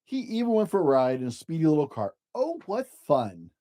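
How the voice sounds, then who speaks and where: Children's picture-book narrator: mellow, gentle tone with lively, swooping intonation. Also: medium pitch, brisk. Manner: animated, gentle, addressing young children. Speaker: storyteller reading a children's book aloud.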